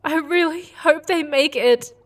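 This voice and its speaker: A woman's voice: high voice, unhurried. A woman playing a young, emotional female character in a sci-fi audio drama, earnest and pleading. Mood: pleading, anxious. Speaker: voice actor.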